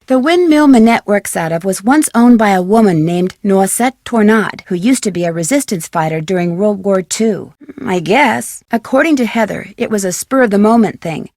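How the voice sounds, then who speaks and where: A young woman playing a plucky, curious amateur detective in scripted video game dialogue, with a clear, earnest, chatty delivery. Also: mid-range voice, darkish tone, dry recording, studio quality. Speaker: voice actor as game heroine.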